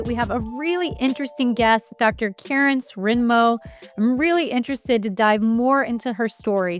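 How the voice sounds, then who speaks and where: Upbeat woman podcast host, bright higher voice. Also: no room sound, studio quality. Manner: upbeat, eager, full of praise for the guest. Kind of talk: podcast interview hosting.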